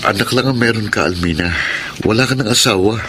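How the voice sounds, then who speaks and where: An actor playing a pushy, obsessive suitor in a radio drama, insistent and forceful in manner. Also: male voice, lowish voice, a little fast, background noise, close to an everyday voice.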